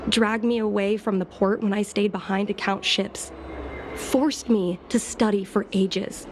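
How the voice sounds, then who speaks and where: A woman voicing an earnest, frustrated young adult daughter in a video game, expressive and eager. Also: mid-range voice, natural voice. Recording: clear game music behind voice. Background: game music.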